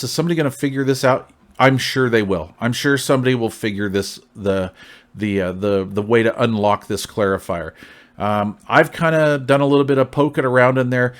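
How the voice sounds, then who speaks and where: Folksy American man giving a casual review. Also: medium pitch. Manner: folksy, long-winded, conversational, checks in with "right?". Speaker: CB radio reviewer, channel host.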